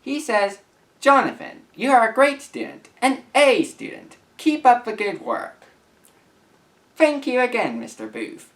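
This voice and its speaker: A young man of about eighteen reading messages aloud and commenting to camera as a YouTuber, with an earnest, deliberate, halting delivery. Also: very high voice, huge pitch swings, a bit bright, far from the mic. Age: young adult, about 18.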